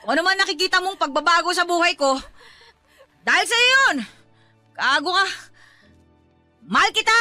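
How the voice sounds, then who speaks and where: A woman's voice: extremely high pitch, lively intonation, moderate pace, long pauses, pushed and bright, heavily acted. A woman playing a tough-talking tomboy young mother, blunt and emotional, reluctantly in love, in a Filipino radio-style romance drama. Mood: tearful confession. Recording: music under the dialogue.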